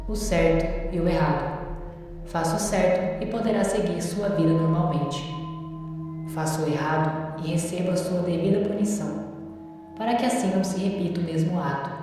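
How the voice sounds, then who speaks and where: A woman's voice: deep voice, very echoey, big-room sound, recorded from a distance. A woman voicing a stern, menacing male creature of authority that lectures in a cold, threatening way, with music underneath. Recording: music bed behind voice.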